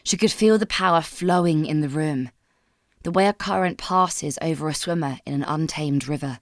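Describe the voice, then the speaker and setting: A woman with a low voice reads a dramatic story from a script. Her telling is measured and solemn, and the sound is very dry and close. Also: dry recording. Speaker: fan fiction narrator.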